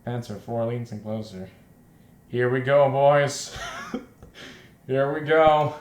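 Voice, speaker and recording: A man reading a visual novel aloud as a let's player, breaking in with loud, repetitive joking reactions. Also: medium pitch, slow. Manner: exclaims loudly and repeats himself in reaction. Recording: roomy echo, faint background noise.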